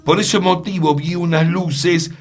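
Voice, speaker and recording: An actor playing an earnest, mysterious storyteller in a radio play, pausing for dramatic effect. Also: male voice, fairly high pitch, steady tone, music in the background, close to an everyday voice. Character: earnest, mysterious male storyteller recounting an enchantment. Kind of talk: radio theatre acting.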